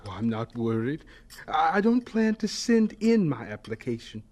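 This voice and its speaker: Earnest polite young man in a radio play, kinda high voice, really expressive, determined. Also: moderate pace, dull audio, noisy background. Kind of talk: radio drama acting.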